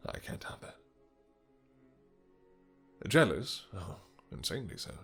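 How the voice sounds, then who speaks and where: Man playing a deep, gravelly, lovestruck vampire king. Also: heavily acted. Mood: possessive, firm, then jealous, yearning. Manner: formal archaic courtly diction, halting breathy pauses. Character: possessive, lovestruck vampire king, courtly and intense. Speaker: voice actor in romantic audio roleplay. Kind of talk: intimate romantic fantasy roleplay acting.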